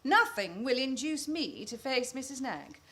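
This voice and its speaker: A woman's voice: high-pitched, very animated, a little slow, a bit bright, far from the mic, heavily acted. A woman acting a bossy, breezy drama teacher in a radio play, theatrical and commanding. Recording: echoey room, faint noise.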